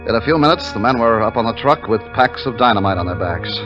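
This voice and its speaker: An actor playing a weary, tense wartime army captain who also narrates, in 1950s radio drama style. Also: male voice, medium pitch, animated, fast talker, close to an everyday voice. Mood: tense, grim narration. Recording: muffled vintage 1950s radio recording, music behind.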